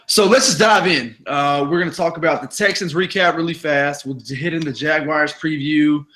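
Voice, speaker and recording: Raspy young man hosting a podcast. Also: on the high side, normal speed. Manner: energetic, chatty storytelling with many fillers. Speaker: podcast host. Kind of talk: casual sports podcast chat.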